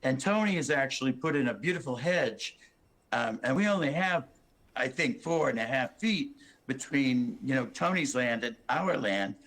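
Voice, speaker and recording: Hoarse gravelly man at a meeting. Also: mid-range voice, lively intonation, moderate pace, fairly bright tone. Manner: rambling, many restarts and fillers. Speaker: applicant presenting a building plan. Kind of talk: public meeting testimony.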